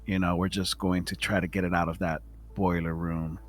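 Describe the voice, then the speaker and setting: A man joins a tabletop roleplaying session, speaking casually as his character. His voice is deep. Faint music plays in the background. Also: flat delivery, a little fast. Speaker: tabletop game player.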